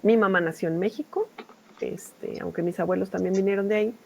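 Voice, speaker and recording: A woman's voice: mid-range voice, brisk, creaky, a little hiss or hum. A middle-aged woman with a Mexican accent teaching a class in an informal, discursive, conversational way, often checking in with short tag questions. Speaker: university lecturer.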